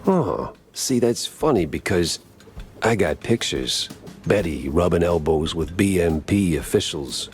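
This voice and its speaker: Deep, wry hard-boiled cartoon detective. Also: male voice, measured pace, slight background noise. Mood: probing, wry. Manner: wry, deadpan, wisecracking. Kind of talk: 1930s noir detective voice acting.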